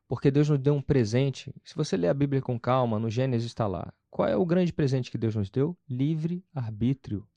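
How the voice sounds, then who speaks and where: A man's voice: mid-range voice, moderate pace, hardly any pauses, mellow, no room sound, dull audio. A man with a Carioca Brazilian accent, speaking as an interview guest in an emphatic, explanatory way, often asking and answering his own questions. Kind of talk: conversational testimony about personal faith.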